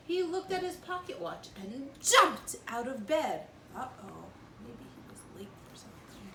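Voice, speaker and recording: Expressive high-voiced woman reading to children. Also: recorded from a distance. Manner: expressive, teacherly, pausing to explain and count. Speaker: story reader for young children. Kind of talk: read-aloud storytime with questions to children. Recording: roomy echo, clear background noise.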